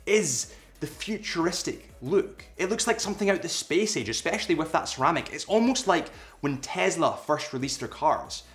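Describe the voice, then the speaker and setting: A man's voice: a little high, animated, moderate pace, a bit bright, faint music behind. A young man with a cold and a slightly nasal voice, chatting casually and a little ramblingly as he reviews a watch to camera. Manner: chatty and rambling, with self-aware asides.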